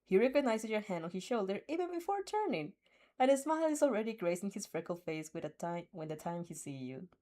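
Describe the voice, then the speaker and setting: Young woman reading fanfic out loud, laughs a lot, trips on words, voice goes up and down a lot. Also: medium pitch, sing-song, a little fast. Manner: giggly, breaks off to laugh and react; stumbles and restarts while reading. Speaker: fanfic reader and reactor. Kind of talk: reading fanfic aloud with reactions.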